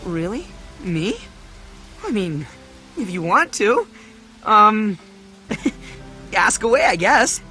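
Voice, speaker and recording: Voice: medium pitch, sing-song, slow pace, pauses often, full character voice, low-quality recording. An actor voicing a timid, earnest, bumbling small male creature in a video game, with an American accent and a hesitant, nervous way of talking. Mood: shy, pleased. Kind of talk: cartoonish video game character acting. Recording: loud game music behind. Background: game music.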